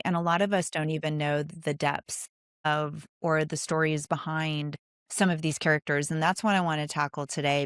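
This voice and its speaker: A woman's voice: sits low, smooth, no room sound, studio quality. A woman hosting a podcast interview, speaking conversationally in an earnest, effusive way. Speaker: podcast host.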